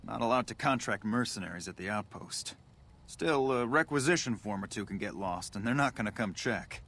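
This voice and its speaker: A man's voice: fairly low pitch, animated, normal speed, fairly bright tone. A male actor playing a matter-of-fact outpost officer in video game dialogue, with a dry, businesslike manner. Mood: businesslike, discreet.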